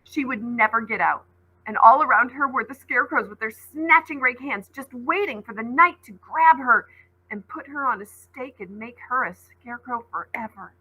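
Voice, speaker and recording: Woman, teacher, reading a book aloud, breathy husky voice, expressive storytelling. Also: moderate pace, dull audio. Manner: expressive read-aloud, voices rising high on called names.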